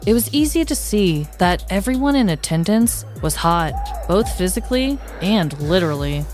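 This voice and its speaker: A woman co-hosting a podcast, chatting and telling stories in an expressive, emphatic way. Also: medium pitch, moderate pace, faint music behind. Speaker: podcast co-host. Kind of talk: casual chat and storytelling.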